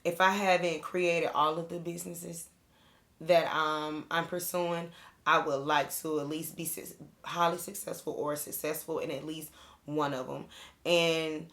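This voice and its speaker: A woman in her thirties co-hosting a podcast, rambling in relaxed casual chat and laughing easily. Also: low-pitched, unhurried. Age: 30s. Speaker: podcast co-host.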